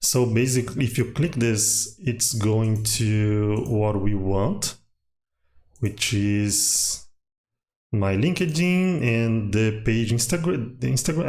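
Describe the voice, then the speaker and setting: A man's voice: fairly low pitch, darkish tone. A man whose first language is Portuguese, hosting a casual coding tutorial and thinking aloud with many fillers as he works.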